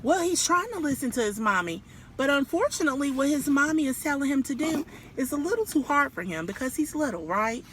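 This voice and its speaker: A woman's voice: high-pitched, sing-song, fairly quick, fairly bright tone, rough recording. A woman reading a children's story aloud outdoors, theatrical and speaking directly to young listeners. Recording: clear background noise, outdoors.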